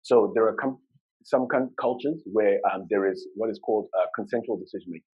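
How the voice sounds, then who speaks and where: A man's voice: fairly low pitch, a little fast, slightly rough, lo-fi sound. A man from Zimbabwe whose first language is Shona, giving a conversational conference talk with filler words and restarts. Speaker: conference speaker.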